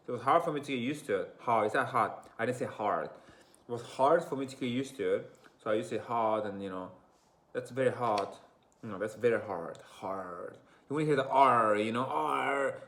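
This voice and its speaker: A man's voice: mid-range voice, fairly bright tone. A man from Azerbaijan whose English mixes British and American accents, talking straight to camera. He rambles in a casual, slangy way, repeats himself and keeps tossing in "you know what I'm saying". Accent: mixed British and American. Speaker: vlogger.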